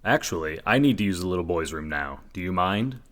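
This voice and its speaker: A man's voice: low-pitched, animated, a little fast, a bit bright. A man playing an earnest, dim reporter. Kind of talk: comedic amateur radio play.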